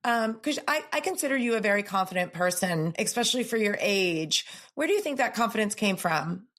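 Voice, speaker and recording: A woman's voice: medium pitch, expressive, brisk, a bit bright. A middle-aged woman hosting an interview in a casual, encouraging way, often repeating words as she starts. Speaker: podcast host and gym owner.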